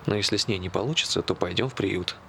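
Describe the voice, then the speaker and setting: A man's voice: low voice, quick pace, gravelly, sharp tone, a little hiss or hum, full character voice. An actor playing an evasive man who jokes dryly, in naturalistic stage-play dialogue. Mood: evasive, dryly joking. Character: Tolya, evasive, joking working-class man with a dog plan.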